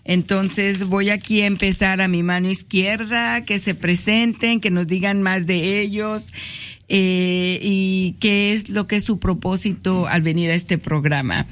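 A woman's voice: mid-range voice, fairly even intonation, measured pace, no echo. A woman hosting a casual radio talk show, welcoming and addressing her audience directly in a conversational way. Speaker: radio host. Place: radio studio.

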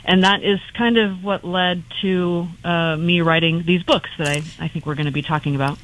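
A woman's voice: on the low side, steady tone, normal speed, fairly bright tone. A woman from California, a guest on a phone line, chatting in a friendly way with many fillers. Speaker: phone-in guest, children's book author. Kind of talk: casual interview chat.

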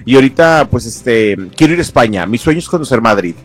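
A man's voice: mid-range voice, expressive, fast. A chatty male reporter with a Mexican accent in casual conversation, repeating himself and checking with his listener, with faint music behind. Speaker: reporter on a show. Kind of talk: casual chat.

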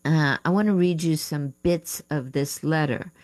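Slow, low-voiced female advice coach. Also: a little mellow. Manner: thinking aloud, unsure of terms, self-correcting. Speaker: writing coach and radio host. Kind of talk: advice show talk, reading listener letters.

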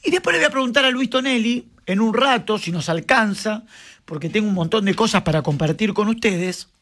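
High-voiced Argentine man hosting radio. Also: animated, fairly bright tone. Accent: Argentine Spanish. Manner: playful, teasing, rapid-fire questions. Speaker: radio show host. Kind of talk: casual talk radio banter.

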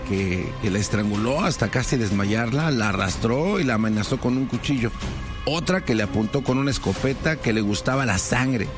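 A Mexican man narrates true crime as if explaining it to a listener. His voice sits at a medium pitch. The delivery is informal, with conversational asides, and music plays behind it. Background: background music.

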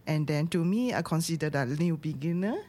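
Guest, woman, low voice, halting and laughing. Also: expressive, mellow. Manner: hesitant, searching for words, laughs often. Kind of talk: casual chat.

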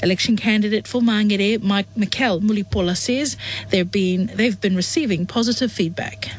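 A woman reading a radio news bulletin in a steady, clear newsreader delivery. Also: medium pitch, normal speed. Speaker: news presenter.

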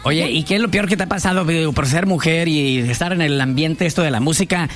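Man, radio host, teasing, playful, high-pitched, faint music behind. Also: fairly bright tone. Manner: teasing, playful, quick questions. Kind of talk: joking radio interview.

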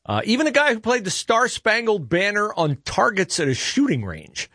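A man's voice: high-pitched, huge pitch swings, normal speed, fairly bright tone, dry recording, studio quality. A man hosting a talk radio show, speaking in a conversational, opinionated monologue with frequent fillers and self-corrections. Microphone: studio mic.

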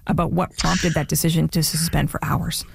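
A woman presenting the news, putting probing, deliberately phrased questions to a guest in a live interview. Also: sits low, level tone, creaky, slight background noise.

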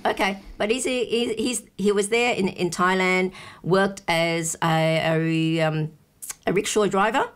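A woman's voice: medium pitch, unhurried, clean tone. A woman in casual conversation, explaining history patiently with many restarts and fillers. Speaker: guest discussing a film.

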